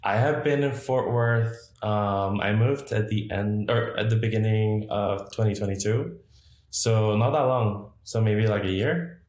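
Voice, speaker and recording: Male podcast guest from Puerto Rico, slightly deep voice, casual and self-effacing, very roomy recording. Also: measured pace, a little mellow. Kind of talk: casual interview chat. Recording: echoey room sound.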